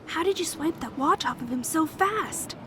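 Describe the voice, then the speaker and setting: Woman doing a high little sister voice, curious and careful, audio drama, slight noise. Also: lively intonation, moderate pace. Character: curious, cautious young girl, little sister.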